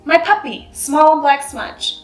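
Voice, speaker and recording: A woman's voice: high-pitched, unhurried, distant mic, faint music behind, pitched above the performer's own voice. A woman voicing a stubborn, devoted female puppy owner in a storybook, speaking in short, blunt, earnest phrases. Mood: earnest, insistent. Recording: roomy, echoing sound.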